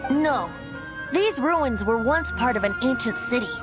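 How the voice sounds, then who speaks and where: A woman's voice: on the high side, lively intonation, measured pace, music in the background. A woman voicing a breezy, whimsical young male bard in a video game, with a lilting, wistful storyteller delivery. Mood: breezy, musing.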